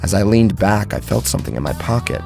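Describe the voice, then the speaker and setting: Casting: male horror narrator, low gravelly register, theatrical and suspenseful, swinging widely in pitch over a soft music bed. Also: sing-song, quick pace. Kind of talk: dramatic first-person horror story narration.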